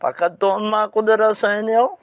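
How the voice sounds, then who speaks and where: A man with a Hiligaynon Filipino accent playing an attorney in a suspense audio drama, with drawn-out interjections and pauses. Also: high voice, fairly even intonation, no echo. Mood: casual, wary. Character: male attorney, familiar and expressive.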